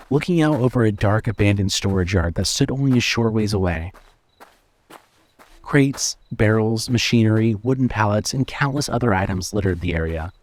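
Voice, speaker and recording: A man narrating a scripted horror story in a measured, suspenseful way. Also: lowish voice.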